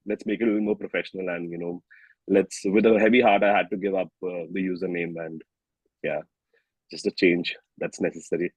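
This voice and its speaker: Low-voiced Indian man, slightly husky, chatting. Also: fairly even intonation, brisk, darkish tone, no echo, dull audio. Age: early 30s. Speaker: singer-songwriter being interviewed. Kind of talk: casual interview chat.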